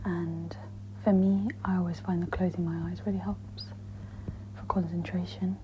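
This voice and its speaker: A woman speaks in a whispery, breathy voice, gently guiding a meditation in a soft-spoken ASMR style. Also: fairly low pitch, level tone. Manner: slow, gentle, instructional. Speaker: host of guided meditation ASMR. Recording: dull lo-fi with audible background noise.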